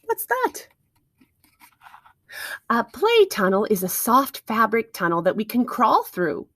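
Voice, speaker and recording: A woman with a fairly high voice reads a picture book to young children in a very animated way. She speaks as a teacher would, carefully and expressively, putting questions to her listeners. The recording is close and dry. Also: slow pace, no echo. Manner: expressive, encouraging listeners with questions and wondering asides.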